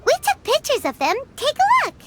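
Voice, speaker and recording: A woman's voice: extremely high pitch, huge pitch swings, brisk, heavy background noise, heavily acted. A woman voicing a tiny, childlike fairy companion in a video game, cheeky and curious, with a perky, cutesy way of talking. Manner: perky, cutesy, speaks of herself by name. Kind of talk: video game character acting.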